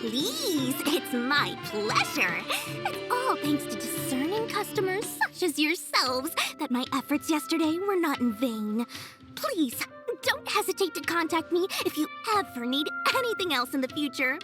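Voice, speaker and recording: A woman voicing a small, shrewd female merchant in a game, theatrically polite with flattering sales patter. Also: high voice, a little slow. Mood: gushing, flattering. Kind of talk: video game cutscene acting. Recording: music behind the voice.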